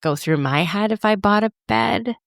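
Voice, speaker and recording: A woman's voice: medium pitch, moderate pace, creaky, dry recording, studio quality. A woman co-hosting a podcast in casual chat, thoughtful and hedging, filling her talk with "like.". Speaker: podcast co-host.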